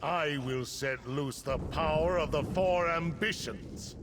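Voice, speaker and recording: A man voicing a fanatical cult high priest in a fantasy video game, grandiose and theatrical, declaiming every phrase. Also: takes it slowly. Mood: grandiose, menacing. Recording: noisy game effects behind voice.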